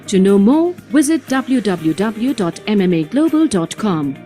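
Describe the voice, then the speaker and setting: A woman's voice: medium pitch, very animated, unhurried, dark tone, soft background music. A woman reading a polished, upbeat promotional voice-over.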